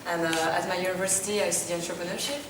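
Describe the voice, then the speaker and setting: Low flat woman from Belgium. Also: very fast. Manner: simple, hesitant English. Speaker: student. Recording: echoey room, far mic, noise.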